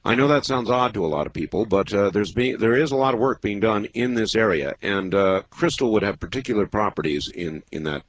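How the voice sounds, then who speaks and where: A man in his fifties hosting a late-night call-in radio show, talking in a measured, musing way with many pauses. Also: lowish voice, lively intonation. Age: 50s. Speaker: radio talk show host. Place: radio studio. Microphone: close studio microphone.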